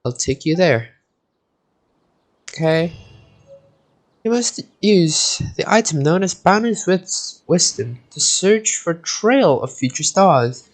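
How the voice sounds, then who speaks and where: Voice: mid-range voice, expressive, slow pace, long pauses, rough recording. A video game streamer giving casual gameplay commentary, thinking aloud and muttering with the odd swear word.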